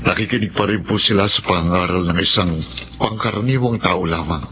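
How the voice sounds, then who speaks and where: Casting: troubled, doubtful Filipino husband, earnest low voice, radio drama, faint background noise. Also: male voice, brisk, pushed and bright, lo-fi sound. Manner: earnest, serious. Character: doubtful, worried husband and father.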